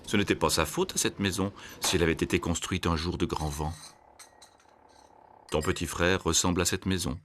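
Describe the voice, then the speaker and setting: A man narrating a scripted children's story in an expressive, storytelling way. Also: deep voice, normal speed, a little hiss or hum.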